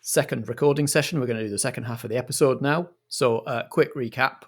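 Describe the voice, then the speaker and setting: A British man hosting and narrating improvised radio comedy in a measured, mock-formal manner. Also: medium pitch. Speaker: host and game master.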